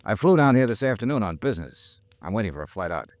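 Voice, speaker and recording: Radio detective hero: American, low and animated, colloquial, wry but considerate, vintage drama delivery. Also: male voice, fast talker, no echo. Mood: kindly concerned. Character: wry, caring American male insurance investigator hero. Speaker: lead actor and narrator. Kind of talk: old-time radio detective acting. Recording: old radio drama with music.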